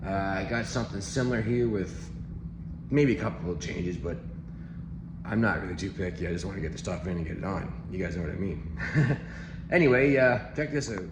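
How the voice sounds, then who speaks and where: A hoarse man giving a relaxed, rambling walkthrough of his half-renovated basement, chuckling as he chats to his viewers. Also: deep voice, a little fast, roomy sound, noisy background. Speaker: vlogger. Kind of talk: casual walkthrough chat. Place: home basement under renovation.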